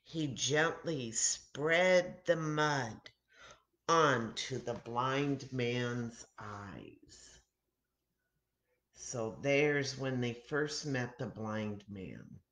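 An American woman in her sixties reads a story for young children. Her voice is low and takes its time, swinging widely in pitch as she dramatizes the tale. The delivery is deliberate and expressive, like a story time host acting out each line of dialogue. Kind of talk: expressive read-aloud of a children's Bible story. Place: home kitchen, indoors. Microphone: webcam or laptop mic at arm's length.

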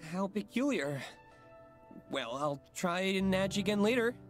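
Woman voicing a slow, hesitant young office guy. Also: medium pitch, lively intonation, voice pushed down. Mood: polite, resigned. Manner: hesitant, halting, soft-spoken. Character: shy, awkward, polite young male office worker. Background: game music.

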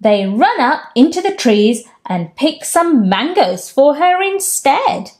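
A woman in her thirties reading a children's picture book aloud at home, gently and expressively, lifting her voice for the characters' lines. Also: takes it slowly. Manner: gentle, expressive storytelling with raised-pitch character quotes. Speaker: storytime host. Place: home room with bookshelves, indoors.

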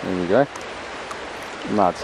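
A man's voice: low-pitched, takes it slowly. Man chatting over outdoor noise. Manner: chatty, rambling. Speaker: vlogger filming a beach walk. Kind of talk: casual, unscripted walking commentary. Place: open beach at low tide. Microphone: handheld camera's built-in microphone. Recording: outdoor handheld recording, clear wind and water noise.

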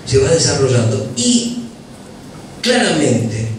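A man's voice: mid-range voice, big pitch swings, slow, recorded from a distance. A man lecturing and explaining history at a panel in a university hall. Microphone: handheld mic, far camera. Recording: roomy hall from afar, background noise.

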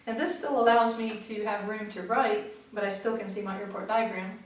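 A woman's voice: medium pitch, fairly even intonation, moderate pace. Methodical woman, very echoey far-off room. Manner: clear, methodical, practical advice. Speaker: flight instructor. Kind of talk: instructional explanation to camera. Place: small studio room in front of a backdrop, seated. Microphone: far camera mic.

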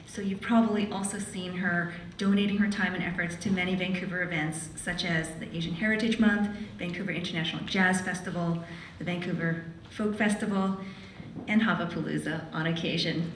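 A woman's voice: fairly low pitch, fairly quick, slight background noise. A woman acting as emcee, introducing the next speaker in a friendly way, with small fillers. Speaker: event emcee. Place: large hall. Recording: echoey hall, distant mic.

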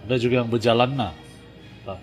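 A man's voice: mid-range voice, fairly even intonation, a little fast, darkish tone. A man in his thirties with a Malaysian Malay accent, hosting a casual reaction video from a small home studio in an earnest, emphatic way. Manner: earnest, says "guys" constantly, emphatic. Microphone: studio mic on arm, wearing headphones. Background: music from the video being reacted to.

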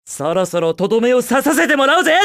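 A cocky young hedgehog hero for a video game. The delivery is upbeat, brash and casually slangy, moving freely up and down in pitch. The sound is dry and studio-clean, with no room around it. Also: male voice, very animated, fast, fairly bright tone, no echo, heavily acted. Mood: taunting, confident. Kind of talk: Japanese video game voice clips.

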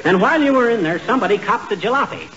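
A blustering braggart husband. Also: male voice, expressive, fairly bright tone. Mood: panicky. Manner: fast boastful patter, tongue-twisting slips, wild pitch swings. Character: blustering small-time braggart husband, excitable. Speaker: lead comic character. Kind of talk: 1940s radio sitcom acting. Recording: dull noisy 1940s radio broadcast.

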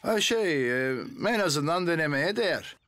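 A man's voice: on the high side, lively intonation, normal speed, background noise, close to an everyday voice. A man voicing a patient, encouraging heroic firefighter in a children's cartoon dub.

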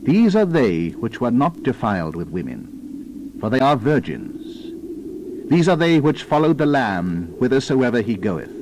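A man's voice: medium pitch, big pitch swings, unhurried, background noise. A man narrating a dramatized scripture reading in a solemn, theatrical, declamatory manner. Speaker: Bible narrator.

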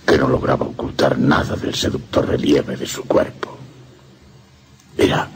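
An actor playing a tormented priest confessing forbidden passion in a radio horror drama, with a halting delivery that drops into breathy whispers. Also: male voice, rumbling low, slight background noise, heavily acted.

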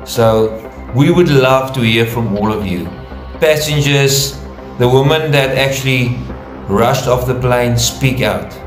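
A man's voice: medium pitch, slow, over music. Irritated man vlogging in an echoey room. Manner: plain-spoken, a bit irritated, asking viewers to come forward. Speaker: commentary vlogger. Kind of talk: casual commentary. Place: indoors in front of a logo backdrop. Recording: roomy echo.

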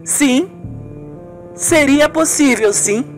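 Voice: high voice, very slow, faint music behind, voice pushed up. A performer voicing a chatty, friendly woman painter talking to a girlfriend in an amateur radio soap opera. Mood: friendly, animated.

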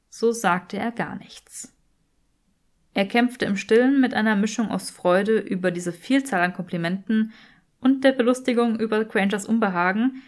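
Female, audiobook reader, scripted fiction narration, average pitch.

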